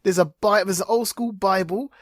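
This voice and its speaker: A man's voice: high voice, normal speed, no room sound, clean studio sound. A man co-hosting a podcast, talking informally in casual chat and commentary. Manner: informal, conversational. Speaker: podcast co-host.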